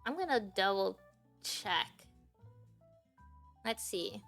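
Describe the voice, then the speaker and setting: A woman's voice: mid-range voice, sing-song, slow pace, a bit bright, soft background music. A woman from Japan whose first language is Japanese, chatting casually on a livestream and rambling with many fillers and restarts. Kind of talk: casual stream chat.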